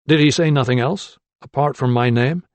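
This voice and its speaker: A man's voice: mid-range voice, normal speed, no room sound, close to an everyday voice. A man voicing a weary, shrewd doctor who asks firm, probing questions. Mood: questioning. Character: weary, shrewd male doctor investigating a death.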